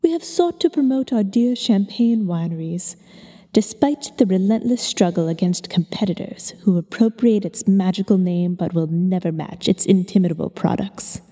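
A woman's voice: medium pitch, normal speed, dark tone, long reverb tail, lightly acted. A woman reading aloud a speech by a proud nineteenth-century trade union leader, in an earnest, declaiming way.

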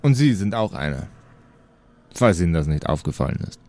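A man's voice: low voice, big pitch swings, fairly quick, dark tone, soft background music, pitched below the performer's own voice. A man voicing a stern, sceptical paladin guard who speaks formally and matter-of-factly in a tabletop game. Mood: stern, official.

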